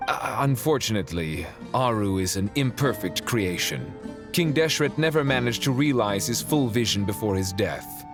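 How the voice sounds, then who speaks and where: Man as genteel ancient spirit, low-pitched, formal, measured, dry, video game dialogue over music. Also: lively intonation, natural voice. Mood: grave, formal. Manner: formal, courtly. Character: ancient male spirit, measured, genteel, dryly self-satisfied.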